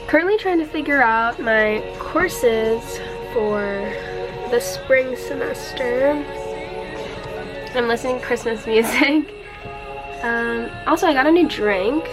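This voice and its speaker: A woman vlogging as an exchange student, chatting casually to her handheld camera, rambling and laughing often, with a stuffy, congested nose. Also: on the high side. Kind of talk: casual vlog chat to camera. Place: dorm room, then outdoors on campus. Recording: noisy background.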